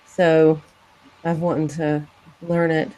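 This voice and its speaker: A woman's voice: low voice, monotone, takes it slowly, dark tone, low-quality recording. A woman from Peru asking a guest questions in a hesitant way, trailing off between phrases. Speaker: panelist. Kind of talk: casual conversation.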